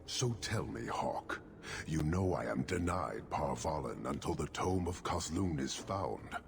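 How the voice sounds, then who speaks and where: A towering horned warlord in a game cutscene, his voice extremely deep and gravelly, rolling out slow, formal, commanding words. The pitch swings widely for all its depth, and a layer of noise sits under the game audio. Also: animated, full character voice. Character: towering horned warrior leader, stern and proud. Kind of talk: video game cutscene acting.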